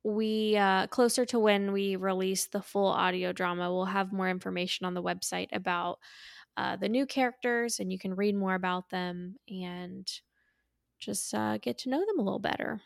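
A woman's voice: medium pitch, steady tone, normal speed, creaky, dry recording, studio quality. A woman co-hosting a podcast in casual chat, speaking in a playful, informal way. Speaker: podcast co-host.

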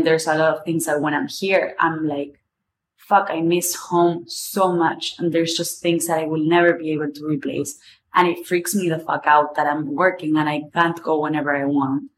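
A woman's voice: sits low, a little hiss or hum, low-quality recording. A woman in her twenties with an Argentinian accent, a native Spanish speaker, talking candidly and rambling in a personal podcast monologue. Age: 20s. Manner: candid, rambling, swears casually. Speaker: podcast host.

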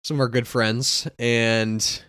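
A man's voice: mid-range voice, flat delivery, takes it slowly, a bit bright, clear, resonant tone, dry recording, clean studio sound. A young man co-hosting a podcast in casual chat, rambling and laughing often. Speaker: podcast co-host.

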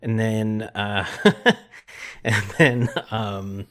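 A man's voice: fairly low pitch, steady tone, extremely slow, fairly bright tone. A man co-hosting a podcast in casual comedic chat, joking and riffing on silly made-up bits. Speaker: podcast co-host.

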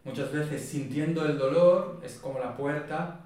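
Therapist guy explaining stuff, calm and measured, lots of room echo, sounds far from the mic. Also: on the high side. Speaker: body psychotherapist guest. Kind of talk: explanatory interview talk. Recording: very echoey room, distant mic.

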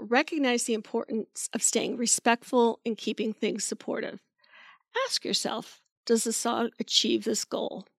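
A woman's voice: a little high, dry recording, studio quality. Woman reading advice for a podcast. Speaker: podcast co-host. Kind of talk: scripted advice segment.

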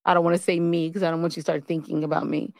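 A woman's voice: on the low side, level tone, rapid-fire, a little mellow, no echo, studio quality. A woman co-hosting an unscripted relationship chat, blunt, playful and slangy in the way she talks. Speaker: co-host of a couples' relationship show.

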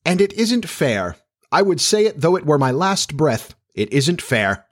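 A man's voice: mid-range voice, big pitch swings, normal speed. A man playing a proud, childish, middle-aged father in a storybook, pompous, indignant and self-pitying.

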